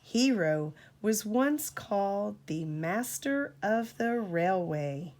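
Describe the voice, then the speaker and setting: A woman reading a storybook aloud to a child as a parent, in an expressive, deliberate storytelling manner. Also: medium pitch.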